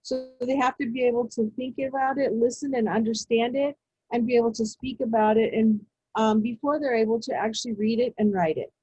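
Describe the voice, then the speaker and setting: A woman leads a teacher-training webinar in a measured, unhurried way, often pausing on fillers and restarting her sentences. Her voice sits a little high and has a husky edge. The sound is dry and close, with no room echo. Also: steady tone, mellow, dull audio. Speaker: education presenter. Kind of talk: webinar presentation. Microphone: video call.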